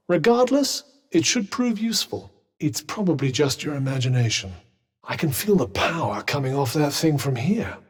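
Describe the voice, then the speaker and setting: A British actor voicing a witty, haughty magical cuff in a video game, speaking in a dry, wordy, formal way. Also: male voice, on the high side, darkish tone, long reverb tail.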